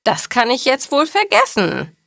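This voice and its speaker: A hapless man with an old car, voiced by a woman reader in a storybook. The delivery is exclaiming but very slow and clearly paced. The sound is dry and close. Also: sits high, wildly swooping pitch, a bit bright, no room sound, voice pushed up, full character voice. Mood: plain, rueful.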